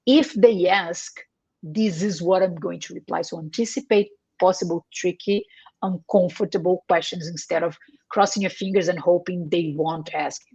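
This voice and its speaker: A woman's voice: on the low side, normal speed, darkish tone, husky, no echo, highs cut off. A woman whose first language is Portuguese, a career coach giving earnest advice as an interview guest, often checking in with tag questions and correcting herself.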